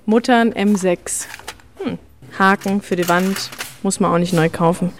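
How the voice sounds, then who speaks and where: A woman's voice: mid-range voice, unhurried, a little hiss or hum. A female reporter describing a shop on site and asking questions. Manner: descriptive, walking through a shop. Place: inside a shop.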